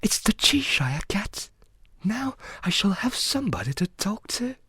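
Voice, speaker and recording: A man's voice: high-pitched, very animated, a little slow, bright, forceful tone, slightly rough, dry recording, clean studio sound, pitched above the performer's own voice, heavily acted. A man voicing a polite, anxious young girl in a storybook, with a prim, plaintive manner. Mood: relieved.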